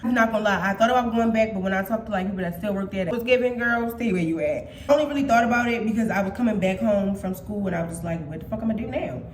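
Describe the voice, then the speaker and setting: A woman's voice: medium pitch, very fast, few pauses, echoey room. A woman in her twenties chatting casually and playfully to her viewers as a vlogger, swearing freely. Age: 20s. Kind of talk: casual chat.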